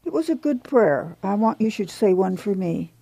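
A woman voicing a frail, dying migrant wife, gentle but insistent. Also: fairly low pitch, measured pace, gravelly, no echo, poor audio. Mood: pleading.

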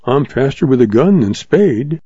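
A man voicing a steady young cowboy who talks slowly and plainly, in a dull lo-fi recording. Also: medium pitch, husky, no echo. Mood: quiet. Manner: slow and plain-spoken. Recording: dull lo-fi audio.

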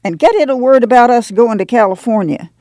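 A woman voicing a feisty, pious and spiteful old grandmother in a storybook reading, shrill and bleating, with a rural American accent. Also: expressive, brisk, dark tone, dry recording, studio quality, lightly acted. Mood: clamoring. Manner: bleating, clamoring.

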